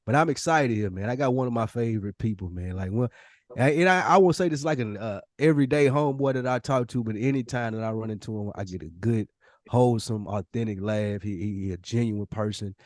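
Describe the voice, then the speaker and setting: Podcast host, male, Southern US accent, lowish voice, slangy storyteller, dry recording. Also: fairly quick, dry recording. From Nashville, United States. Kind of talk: casual podcast chat.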